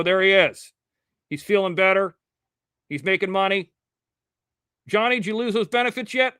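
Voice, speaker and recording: A man from Ohio hosting a live show, chatting casually and reading the chat, rambling and swearing now and then in clean, crisp diction. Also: sits high, a bit bright, dry recording, studio quality.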